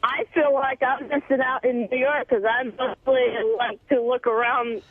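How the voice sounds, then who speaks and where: Female phone caller, high husky voice, blunt short answers, thin telephone sound. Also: level tone, moderate pace, no echo. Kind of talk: casual phone chat. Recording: tinny narrow phone line.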